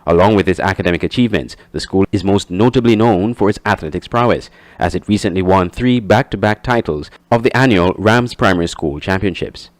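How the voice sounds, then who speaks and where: A man's voice: deep voice, brisk, few pauses, dry recording. A man reading scripted news narration as a reporter. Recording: dry close studio voice-over.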